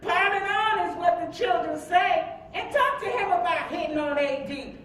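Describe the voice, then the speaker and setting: Worried preacher's wife played by an actress, folksy and very high, stage drama recorded from afar. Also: female voice, normal speed, fairly bright tone. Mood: flustered. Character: worried, protective mother and preacher's wife, standing her ground. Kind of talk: staged play reading before a live audience. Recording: distant echoey hall recording, faint background noise.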